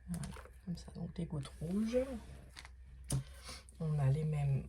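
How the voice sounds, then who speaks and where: Middle-aged woman, hoarse and mellow, hesitant casual vlogger, occasional whispers. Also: low-pitched, expressive, takes it slowly, slight background noise. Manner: hesitant, many fillers and restarts; drops to a whisper at times. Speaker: vlogger unboxing craft supplies. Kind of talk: casual unboxing talk.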